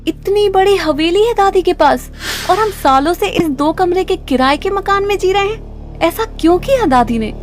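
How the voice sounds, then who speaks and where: Curious cartoon horror heroine in her early twenties, played by a woman, high-pitched, light music underneath. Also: animated, moderate pace. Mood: puzzled, resolute. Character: curious 22-year-old woman heroine of a ghost story. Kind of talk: animated horror story dialogue.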